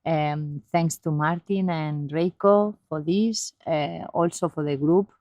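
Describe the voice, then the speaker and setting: Woman, low and slow, hesitant, dull dry audio. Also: steady tone, dry recording. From Madrid, Spain. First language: Spanish. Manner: hesitant, warm, many "um"s.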